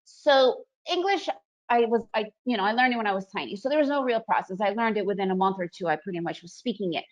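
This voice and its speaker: A woman's voice: mid-range voice, fast talker. A woman, presenting a trading webinar in a chatty, upbeat way and often checking in with "right?". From Soviet Union, raised in the US. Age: 40s. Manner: chatty, upbeat, frequent fillers and "right?" tags. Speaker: trading educator hosting a webinar. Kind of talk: casual presentation. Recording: dull lo-fi webinar audio.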